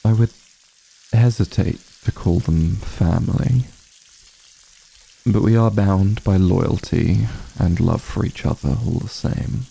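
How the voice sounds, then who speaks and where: A man's voice: low voice, slow, gravelly, mellow, breathy edge, heavily acted, rough recording. A man playing an ancient, aloof vampire patriarch in an ASMR fantasy roleplay, commanding yet honorable, speaking in formal, haughty, archaic phrasing. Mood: measured, proud.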